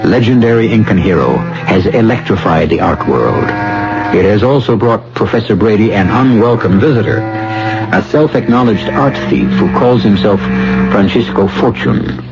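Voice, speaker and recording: A man playing a grave, portentous host, narrating a scripted radio mystery in a measured, ominous manner. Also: on the low side, over music, natural voice. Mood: grave, intriguing. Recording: dull old radio broadcast.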